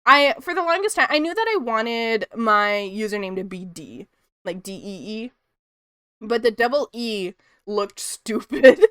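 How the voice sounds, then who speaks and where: A woman's voice: on the high side, lively intonation, unhurried, sharp tone, smooth, dry recording, clean studio sound. A woman streaming her art, chatting casually and rambling with frequent laughs, in a soothing voice. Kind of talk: casual chat while drawing on stream.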